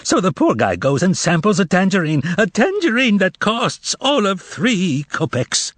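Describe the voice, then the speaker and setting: A man's voice: very high voice, sing-song, a little slow, a bit bright, dry recording, voice pushed up, full character voice. Korovyov, a man doing a thin quavering con man. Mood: mock-indignant. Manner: sarcastic, wailing, mock-indignant. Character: sly, theatrical male con man haranguing a crowd. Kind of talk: audiobook character voice.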